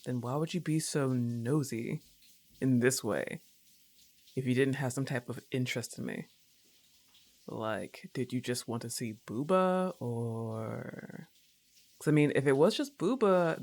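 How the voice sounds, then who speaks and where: A woman's voice: rumbling low, lively intonation, measured pace, a little mellow, heavily acted. A woman playing a laid-back, teasing young goth roommate in an ASMR roleplay, with a casual, coaxing manner and a touch of annoyance. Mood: mildly annoyed, probing.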